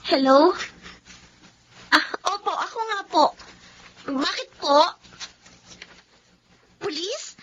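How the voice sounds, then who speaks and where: High-voiced, halting, mistreated young woman. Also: expressive, a bit bright, heavily acted. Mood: grateful, polite. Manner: tearful, slow, halting. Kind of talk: Filipino radio drama acting. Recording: dull, noisy radio drama recording.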